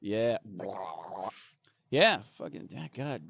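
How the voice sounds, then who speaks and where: A man's voice: medium pitch, very animated, slow pace, vocal fry, a bit bright. A man co-hosting a comedy podcast, riffing in casual banter and laughing often. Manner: joking, laughs often, goofy riffs. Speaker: podcast co-host.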